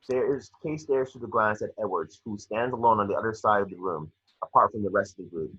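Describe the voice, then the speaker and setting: A man reading screenplay action lines aloud in a somewhat halting way, as narrator of an amateur table read. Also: on the low side, pushed and bright, husky, muffled recording. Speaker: reader of action descriptions.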